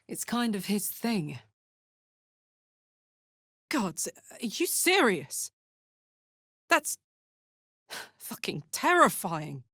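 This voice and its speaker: A woman playing a brash, big-hearted tiefling barbarian in a video game, blunt, earthy and expressive. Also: mid-range voice, no echo, studio quality, exaggerated voice. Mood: earnest. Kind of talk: video game character voice lines.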